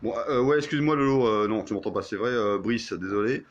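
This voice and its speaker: A man's voice: on the low side, fairly quick, lo-fi sound. A man who is an amateur radio operator, chatting and muttering casually in a blunt, sweary, grumbling way.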